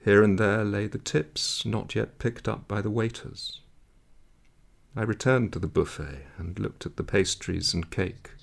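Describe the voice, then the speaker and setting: A man reading a literary story aloud in a measured, dramatic way, with a gravelly, husky voice. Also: on the low side, steady tone. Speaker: audiobook reader.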